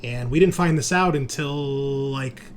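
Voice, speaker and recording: A man's voice: on the high side, moderate pace, slight background noise. A man hosting a tabletop roleplaying show as game master, chatting casually and informally with some swearing. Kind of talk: casual podcast chat.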